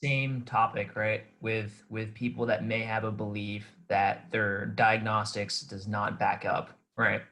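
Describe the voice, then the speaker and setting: A man's voice: lowish voice, flat delivery, slow pace, few pauses, bright, forceful tone. A man joining a coaches' discussion on a group call, speaking casually. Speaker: participant in a coaches' conference call.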